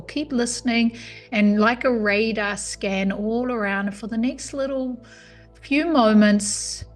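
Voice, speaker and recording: A woman guides a meditation in a soothing, unhurried manner. Her voice sits at a medium pitch and she gives each instruction calmly. Soft music plays faintly behind her. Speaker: yoga nidra meditation guide.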